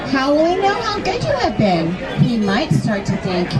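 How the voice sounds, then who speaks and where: A woman reading a storybook aloud to children in an expressive way, often putting questions to them. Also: high-pitched, normal speed. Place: live reading inside a large toy shop. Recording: busy echoey store, crowd and loud music.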